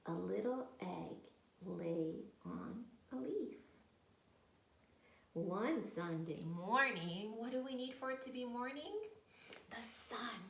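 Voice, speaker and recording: Higher-voiced woman in an echoey room. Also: slow, gravelly, a bit bright. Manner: encouraging, playful, addressing children directly. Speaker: children's yoga teacher and storyteller. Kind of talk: interactive storytime yoga lesson for young children. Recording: roomy echo with faint noise.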